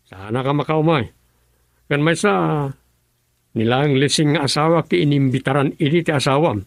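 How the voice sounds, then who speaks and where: A man's voice: a little high, normal speed, creaky, no echo, studio quality. A man playing a distraught Ilocano husband. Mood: earnest. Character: distraught husband searching for his wife. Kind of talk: Ilocano radio drama acting.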